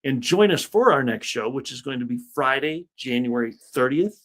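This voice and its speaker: A man's voice: medium pitch, lively intonation, normal speed, fairly bright tone. A man hosting an interview podcast, upbeat and chummy, often calling his guest "man.". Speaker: podcast host.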